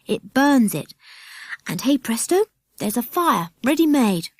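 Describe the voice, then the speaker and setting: A British woman voicing an eager, bold young boy in a children's storybook, brisk, excited and confident. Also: fairly high pitch, no room sound, pitched above the performer's own voice. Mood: excited, proud. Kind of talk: children's storybook character reading.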